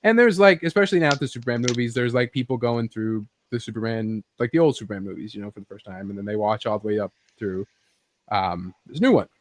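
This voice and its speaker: A man's voice: fairly low pitch, fast talker. A man chatting casually and ramblingly as a podcast host, swearing freely and saying "like" a lot. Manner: rambling, profane, heavy use of "like". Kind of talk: casual chat.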